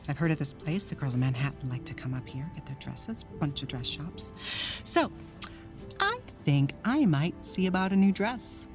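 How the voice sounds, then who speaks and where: A singsong playful 1930s wife talking to herself, radio drama, very up and down, music playing behind. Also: female voice, sits low, expressive, normal speed. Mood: wistful, sly. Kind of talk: period radio drama acting.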